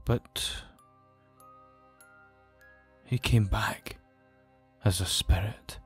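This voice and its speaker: A man's voice: fairly low pitch, fairly even intonation, extremely slow, soft background music. A man playing a grave, injured nobleman in an audio drama, speaking formally and solemnly. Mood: solemn, regretful.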